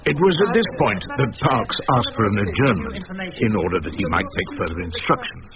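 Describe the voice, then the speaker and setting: A man's voice: on the low side, sing-song, fairly quick, muffled recording, a crowd in the background. An actor playing a wry old barrister, narrating his memoirs in a droll storytelling style for radio drama.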